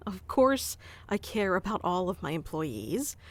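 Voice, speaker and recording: A woman in an ASMR roleplay voicing a stubborn young woman boss, stern yet caring, speaking softly and intimately into a close microphone. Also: fairly low pitch, very animated, slow pace, exaggerated voice. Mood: tender, worried.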